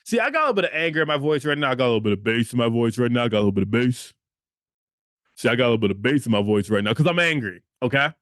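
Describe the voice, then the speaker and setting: A man's voice: medium pitch, animated, very fast, a bit bright, no echo, studio quality. A man hosting a motivational podcast, talking emphatically, repeating phrases and checking in with "okay?". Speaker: podcast host.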